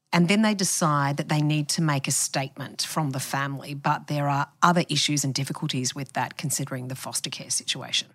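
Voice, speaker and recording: Deep-voiced woman hosting a podcast. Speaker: podcast host. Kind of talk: narrative interviewing.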